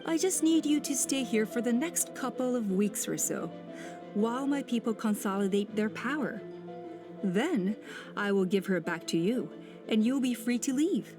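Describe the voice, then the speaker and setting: An actress playing a cold, scheming woman who seized power in her tribe, speaking calmly, menacingly and condescendingly. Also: fairly high pitch, normal speed, close to an everyday voice. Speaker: villain. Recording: game voice over music.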